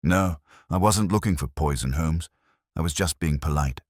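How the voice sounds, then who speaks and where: A man voicing a gruff, dismissive army colonel with a brusque, curt manner in a storybook mystery. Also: extremely low pitch, normal speed, darkish tone, no echo, studio quality. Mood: brusque, defensive.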